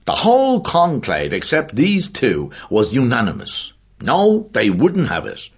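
A man voicing an authoritative middle-aged gentleman who holds forth with slow, emphatic declaiming. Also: mid-range voice, huge pitch swings, slightly rough, muffled recording, pitched above the performer's own voice, heavily acted. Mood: earnest.

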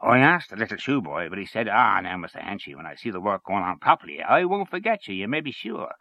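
A man's voice: fairly low pitch, sing-song, fast talker, sharp tone, dry recording, full character voice. A man voicing a blustering, scornful middle-aged man who grumbles and gossips.

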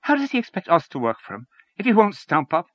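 A mild, doubtful canvasser speaks up in a raised, lively voice, as a male reader puts him on. The recording is dry and close. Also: high-pitched, quick pace, no echo, pitched above the performer's own voice, full character voice.

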